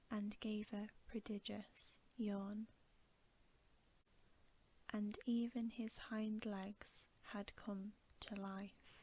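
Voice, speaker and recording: A woman softly whispering a bedtime story for ASMR, gentle, hushed and unhurried. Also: medium pitch, a little hiss or hum, low-quality recording. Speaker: ASMR reader.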